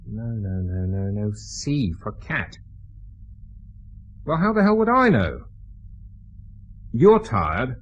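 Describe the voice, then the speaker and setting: Through a slightly noisy, muffled recording comes a low-pitched British man as a dogged intelligence officer in a radio drama. He is brusque and commanding, with lively swings of intonation and clipped, weary exasperation. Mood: exasperated.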